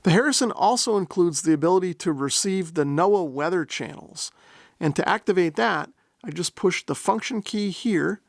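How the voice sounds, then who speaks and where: A man's voice: sits high, animated, normal speed, creaky, no echo, clean studio sound. A man narrating a product demonstration in a methodical, step-by-step explanatory way. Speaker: host of a radio gear review channel.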